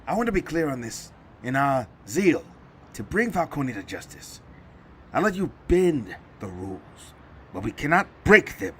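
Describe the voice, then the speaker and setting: A man playing a principled police captain in an audio drama, earnest, measured and firm. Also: medium pitch, sing-song, a little hiss or hum.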